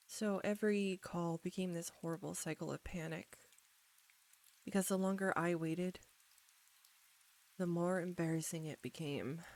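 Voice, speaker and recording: A woman playing an embarrassed, anxious young roommate in an intimate audio roleplay, speaking softly and haltingly straight to the listener. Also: lowish voice. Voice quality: soft. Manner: halting, flustered, nervous stumbling.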